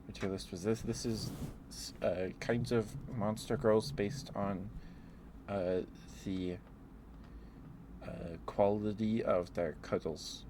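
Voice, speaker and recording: A man with a lowish voice chats in a casual ASMR style, speaking very slowly. He muses playfully out loud and lets thoughts drift, over clearly audible ambient noise. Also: steady tone. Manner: playful, musing aloud with trailing thoughts. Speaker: ASMR livestreamer. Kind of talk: ASMR, casual rambling chat.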